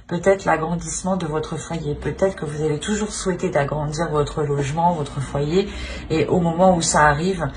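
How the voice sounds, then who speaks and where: A woman's voice: deep voice, fairly even intonation, normal speed. A woman giving an unscripted tarot reading to viewers, feeling her way through the meaning by repeating and rephrasing. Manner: hesitant, searching, repeats and rephrases phrases. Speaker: tarot reader, channel host. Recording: clear background noise.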